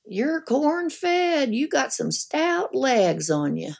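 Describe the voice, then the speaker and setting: A woman's voice: high-pitched, sing-song, drawn-out words, vocal fry, a little hiss or hum, pitched above the performer's own voice, full character voice. A woman voicing a rotund, sweaty older male small-town sheriff with a cigar, speaking in a drawling, leering way.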